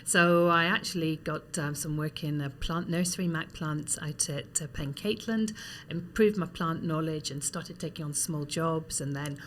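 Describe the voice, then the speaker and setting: A Scottish woman in her forties, a guest telling her story in a relaxed, chatty way with many ums. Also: sits low, normal speed, a little hiss or hum. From Scotland.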